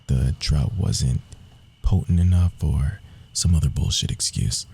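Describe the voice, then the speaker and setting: A man playing a very deep, husky rogue assassin. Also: exaggerated voice. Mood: soft, resolved. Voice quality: husky, breathy, often dropping to a whisper. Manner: hushed, confiding, dramatic pauses. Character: young male rogue assassin, former street urchin, proud, wounded. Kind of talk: ASMR roleplay monologue, intimate storytelling.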